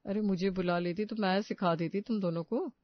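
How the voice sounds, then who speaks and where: A man's voice: high voice, steady tone, fairly quick, dry recording, muffled recording, natural voice. A man voicing a nitpicking, snide older female relative in a cartoon story. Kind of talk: cartoon moral story voice acting.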